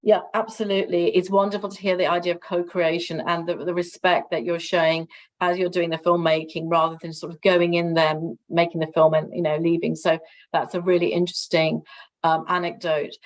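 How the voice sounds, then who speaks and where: Flat-voiced woman moderating a panel. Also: on the low side, rough recording. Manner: friendly, quick transitions with fillers. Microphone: video call.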